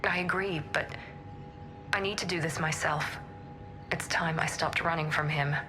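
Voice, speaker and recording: Low-voiced, determined operative. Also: female voice, fairly even intonation, normal speed, pushed and bright, soft background music. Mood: resolute. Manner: controlled, intense. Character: determined, guarded female operative on the run. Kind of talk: video game dialogue.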